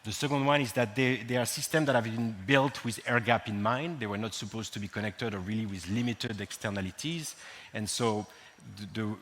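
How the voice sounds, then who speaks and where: A man's voice: lowish voice, fairly quick, a bit bright. A man from France with a French accent, giving a technical conference talk with frequent ums and restarts. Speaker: conference speaker. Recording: long hall reverb.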